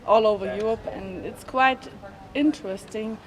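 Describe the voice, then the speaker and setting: A woman, speaking in a casual interview and pausing to find her words. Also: on the high side. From Germany. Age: 20s. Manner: thoughtful, pauses to find words. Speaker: youth rally participant. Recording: noisy outdoor recording.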